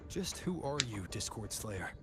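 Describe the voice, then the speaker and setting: A man's voice: on the low side, very animated, a little slow. A man playing a wary, serious young adventurer in a video game, speaking in a guarded, probing way. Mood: wary, questioning. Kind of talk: scripted video game voice acting. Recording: game audio with loud music behind. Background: loud game music.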